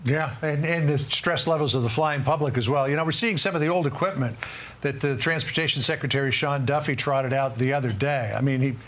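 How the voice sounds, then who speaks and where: A man's voice: medium pitch, fairly quick, fairly bright tone. A man working as a news anchor, interviewing a guest in an emphatic broadcast style that repeats words for stress.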